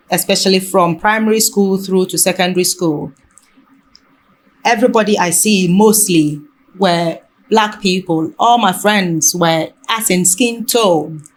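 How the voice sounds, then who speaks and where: Slow-paced, halting woman. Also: fairly low pitch. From Ghana. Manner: halting, often rephrasing mid-sentence. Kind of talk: reflective conversational discussion.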